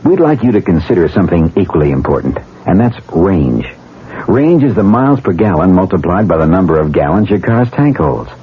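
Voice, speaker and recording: A man announcing a 1970s radio car commercial in a smooth, persuasive manner. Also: deep voice, sing-song, brisk, phone-quality sound. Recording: phone-thin vintage radio recording.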